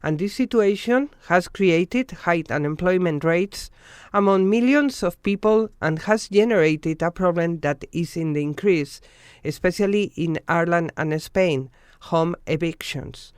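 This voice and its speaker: A documentary narrator reading a scripted news report in a measured, formal way from a studio voice-over. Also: mid-range voice, clean tone, no echo.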